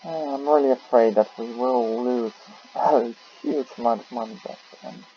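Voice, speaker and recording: Man, casual gameplay commentary, unhurried, muttering, dull muffled recording with faint noise. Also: medium pitch. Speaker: gaming video host.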